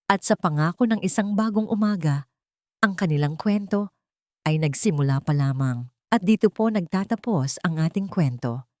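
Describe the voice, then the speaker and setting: A woman's voice: fairly low pitch, a little mellow, no echo. A woman narrator delivers a scripted dramatic story. The recording is dry and close, with no room sound around her.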